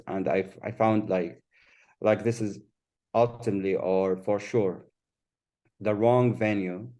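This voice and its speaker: A man speaking English as a second language, Kurdish his first, on a panel, talking thoughtfully with many pauses and restarts. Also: on the low side, dark tone. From Kurdistan region of Iraq. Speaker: panelist, artist and refugee. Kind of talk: panel discussion.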